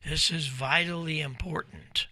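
A man's voice: a little high, fairly even intonation, measured pace, sharp tone, dry recording. An older American man giving an informal talk as a storyteller, folksy and emphatic, close on a desk microphone. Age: 70s or older. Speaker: presenter, company founder. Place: small indoor ham radio room, seated at desk. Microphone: desk microphone close in front.

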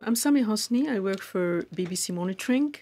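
A woman's voice: medium pitch, lively intonation, brisk, dark tone, faint music behind. A woman from Egypt, a media monitoring analyst, giving an expert explanation with frequent fillers.

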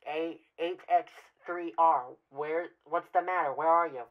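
Man playing a high-voiced, muffled radio operator. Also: fairly even intonation, a little slow, lightly acted. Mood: anxious calling. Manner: clipped, telegraphic delivery. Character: male military radio operator relaying dire emergency alerts. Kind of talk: old-time radio drama dispatches. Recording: dull lo-fi radio sound.

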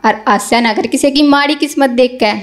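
High-voiced young woman reciting poetry. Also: moderate pace. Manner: rhythmic, repeats each couplet. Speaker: poet. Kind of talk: open mic poetry recitation. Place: small indoor stage. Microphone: stand mic.

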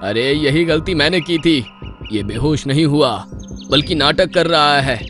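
A man's voice: a little high, a little slow, a bit bright, soft background music. A man voicing a frightened male lion who was beaten, whining and fearful. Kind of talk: cartoon dubbing.

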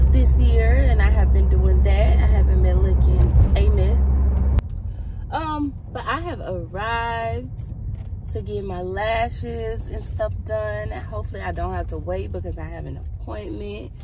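Chatty woman vlogging in her car. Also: mid-range voice. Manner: chatty, animated, laughs often, talks to herself. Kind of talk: casual car chat to camera. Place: parked car, inside. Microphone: phone camera on dashboard. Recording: car cabin with outside noise.

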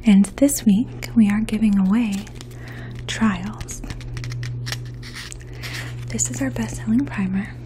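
A woman in her twenties speaking in a soft, hushed, breathy voice, gently explaining things as a makeup artist in an ASMR role play. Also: mid-range voice, slow pace, pauses often. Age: 20s. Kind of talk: ASMR role play, addressing the listener as a client. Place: small indoor room. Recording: faint steady outdoor hum. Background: distant lawn mower.

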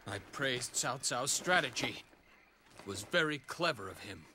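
A man playing a proud, pompous nobleman in a video game cutscene, speaking deliberately. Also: medium pitch, lively intonation, background noise, natural voice. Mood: smug pride.

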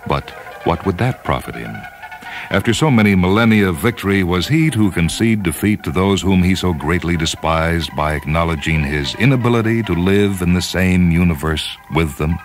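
A man with an extremely low American voice narrates a story in a measured, solemn manner. He sounds like the host of a radio fiction program, with music playing beneath his words. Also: expressive. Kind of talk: scripted dramatic narration. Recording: music under the voice.

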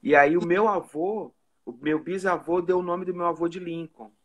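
A man's voice: sits high, normal speed, slightly rough, low-quality recording. Carioca man telling stories over a video call. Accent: Carioca Portuguese. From Rio de Janeiro, Brazil. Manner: relaxed storytelling with many "né?" tags. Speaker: musician guest. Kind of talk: casual live video chat. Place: indoor room at home. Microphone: phone or webcam on a video call.

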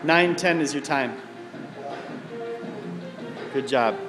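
A man's voice: medium pitch, takes it slowly. A man coaching in a gym, counting reps aloud and encouraging his client, recorded on a camera mic. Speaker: personal trainer. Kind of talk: coaching and commentary. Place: gym studio, indoors. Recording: camera audio in a large echoing gym with music.

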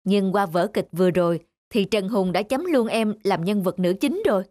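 A man voicing a courteous adult in a cartoon, speaking politely and in a measured way. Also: high voice, a little mellow, no echo, natural voice. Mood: complimentary. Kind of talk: dubbed cartoon dialogue.

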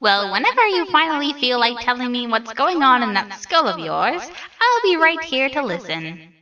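A woman's voice: high-pitched, very animated, moderate pace, sharp tone, recorded from a distance, lo-fi sound, heavily acted. A woman voicing a cheerful, naive skeleton brother in a comic dub, grandiose, earnest and theatrical. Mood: sympathetic. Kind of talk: comic dub character acting.

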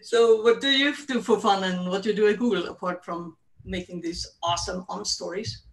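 An older woman hosts a relaxed video call from her book-lined home study. She talks in a hesitant way, full of ums, and laughs easily. Her voice sits a little back from the mic. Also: mid-range voice, a little fast, distant mic, rough recording. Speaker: host. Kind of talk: casual video call interview.

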